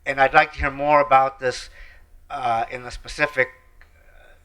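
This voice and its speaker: A man's voice: mid-range voice, level tone, moderate pace, bright, forceful tone. Distant man asking from the audience. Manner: wordy, formal and gracious. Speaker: audience member asking a question. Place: lecture hall audience. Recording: roomy and distant with faint ambience.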